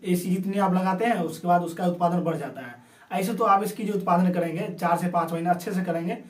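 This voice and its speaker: A high-voiced man explains things informally as a video host, speaking through a sore throat. He tends to repeat and rephrase his points. The recording is roomy and echoey, with him sitting back from the microphone. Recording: roomy echo, distant from microphone.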